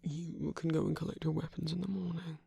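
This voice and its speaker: A performer of unclear sex voicing a seductive villain lover in an intimate roleplay ASMR, teasing and protective, with a husky, breathy, often whispered voice. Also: mid-range voice, level tone, brisk, warm tone, slight background noise. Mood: playfully teasing.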